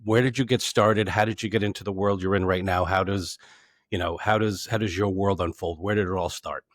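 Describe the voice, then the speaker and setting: A man's voice: low-pitched, fairly even intonation, brisk, no room sound. A man hosting an interview, who rambles and stacks several questions together before handing over. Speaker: podcast host. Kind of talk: interviewer asking open questions.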